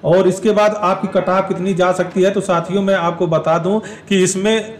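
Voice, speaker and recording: A man's voice: high-pitched, fairly even intonation, moderate pace, distant mic. A man giving an explanatory talk to exam aspirants, repeating and rephrasing his points as he goes. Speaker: exam information presenter.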